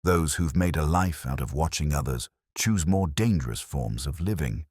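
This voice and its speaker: Male actor as melancholy police inspector, bottomless bass, expressive rise and fall, deliberate pauses, literary audio drama narration, solemn. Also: slightly rough, no echo, studio quality, heavily acted. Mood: somber, brooding. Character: melancholy, reflective male police detective inspector telling his story. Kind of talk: slow literary first-person scripted narration, audio drama.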